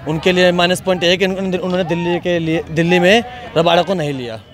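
A man's voice: high-pitched, steady tone, a little fast. A young man standing on an outdoor sports ground, talking emphatically and at length into a handheld mic. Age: young adult. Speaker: interviewee. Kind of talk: casual opinion.

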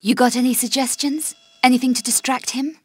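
A woman's voice: high-pitched, fairly even intonation, brisk, darkish tone, husky, no echo. An actress playing a cool, sarcastic female adventurer with clipped, dry lines in a video game. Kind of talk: video game cutscene voice acting.

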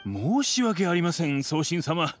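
Stern city guard, animated delivery. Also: male voice, fairly high pitch, measured pace, loud music behind the voice. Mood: respectful, wary. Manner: brusque commands, respectful formal address. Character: stern, dutiful male city guard, deferential to his goddess. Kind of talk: Japanese anime game dub.

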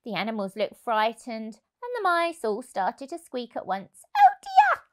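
A woman in her thirties narrating a picture book for young children, expressively and deliberately. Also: fairly high pitch, big pitch swings, fairly bright tone. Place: indoor room, on a sofa. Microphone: lapel mic.

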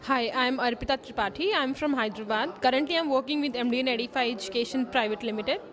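A woman's voice: high voice, fast, fairly bright tone, faint music behind. A young woman giving a plain, matter-of-fact alumni introduction. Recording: crowd chatter behind.

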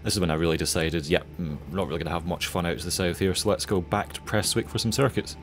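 A man's voice: deep voice, fairly even intonation, quick pace, faint music behind. A young man giving casual pilot commentary over his flight. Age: young adult.

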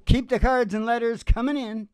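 A man's voice: very high-pitched, fairly even intonation, moderate pace, a little mellow, smooth, no room sound. A man hosting a live country music show, chatting casually and playfully between songs. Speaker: singer and show host. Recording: live music performance with guitar. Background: acoustic guitar.

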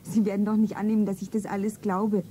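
A woman's voice: medium pitch, flat delivery, fast, mellow, lightly acted. An actress playing a naive, cheeky young shop girl in a girlish, artless manner in a radio play. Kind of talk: 1970s German radio play acting. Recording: noisy 1970s radio play recording.

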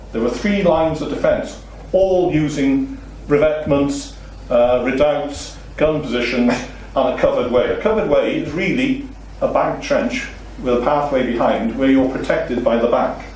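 Welsh lecturer in a very echoey room. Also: male voice, mid-range voice, normal speed, hardly any pauses, slight background noise. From Wales. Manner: steady explanatory delivery with rhetorical questions, gesturing freely. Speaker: seminar speaker. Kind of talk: illustrated lecture to a seated audience. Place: meeting room with a seated audience. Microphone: far room recording, no mic seen. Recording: very echoey room, distant mic.

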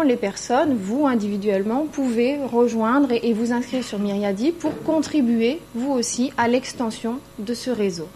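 Woman lecturer explaining stuff at a conference, voice on the high side, faint hum and noise behind her. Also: a little mellow. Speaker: university lecturer presenting at a conference. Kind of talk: conference presentation. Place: indoor conference room, seated at a panel table.

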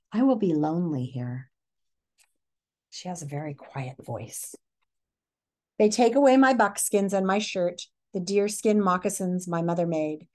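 A middle-aged woman giving a read-aloud lesson as a teacher, speaking with deliberate storytelling delivery and pausing to pose questions. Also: on the low side, warm tone. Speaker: teacher reading a picture book aloud. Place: small furnished living room or hotel room, indoors.

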